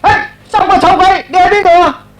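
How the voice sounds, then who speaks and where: A man voicing a brash, excitable young prince in a Cantonese radio drama, cocky and eager. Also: extremely high pitch, a little fast, voice pushed up, low-quality recording. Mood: cocky, challenging.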